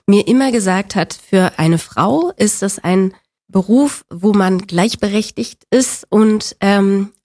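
A woman's voice: mid-range voice, slow, darkish tone, no room sound. Playful woman guest in her forties, friendly, dry close sound. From Berlin, Germany. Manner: friendly, playful, laughs along. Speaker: guest, actress. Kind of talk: casual talk show interview.

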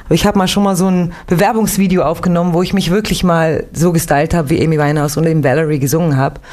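A young Swiss woman, an actress and singer, chatting lively as a guest in a late-night radio interview, with a faint Swiss German accent. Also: deep voice, fast talker. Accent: slight Swiss German. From Switzerland, Winterthur. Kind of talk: relaxed radio interview chat.

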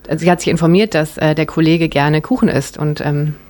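A woman from Germany answers interview questions in a rambling, easygoing way, her voice sitting a little low. She circles around her points with plenty of fillers. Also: fairly even intonation, fairly quick. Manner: warm, rambling, frequent fillers and laughs. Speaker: actress guest. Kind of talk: casual interview chat.

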